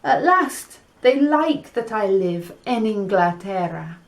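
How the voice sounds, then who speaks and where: A woman's voice: mid-range voice, expressive, slow. A woman voicing a cheerful, outspoken young English girl in a children's story, exclaiming playfully. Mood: excited.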